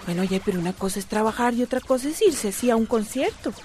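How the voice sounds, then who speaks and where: A woman's voice: a little high, animated, fast, a little mellow, slight background noise, natural voice. An actress playing a worried, placating mother and wife in a radio soap opera, with a Costa Rican accent. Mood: anxious.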